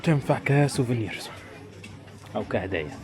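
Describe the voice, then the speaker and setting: Fast-talking Egyptian man, casual vlogger. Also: mid-range voice, animated. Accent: Egyptian Arabic. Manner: informal running commentary, addressing viewers directly. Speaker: travel vlogger. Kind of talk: casual walking-tour chat. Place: walking around a tourist village and its shops. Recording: busy crowd and street bustle behind.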